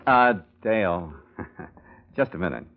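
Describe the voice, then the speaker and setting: A man's voice: low voice, expressive, takes it slowly, creaky, slight background noise, exaggerated voice. An American man acting a wry, no-nonsense private investigator in a 1940s-style radio drama. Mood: wry, insistent. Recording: dull vintage radio recording.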